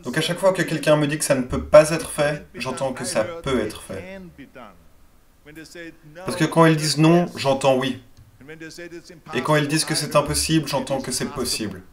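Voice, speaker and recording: A man's voice: a little high, normal speed, long pauses. A man reading a first-person motivational speech as a dubbed voice-over, in an earnest and emphatic way. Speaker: voice-over translator.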